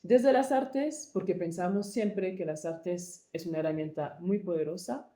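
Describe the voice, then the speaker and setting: A woman from Congo, co-founder and director of an arts project, answering interview questions thoughtfully and pausing to search for words. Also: on the low side, dark tone, reverberant. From Democratic Republic of the Congo.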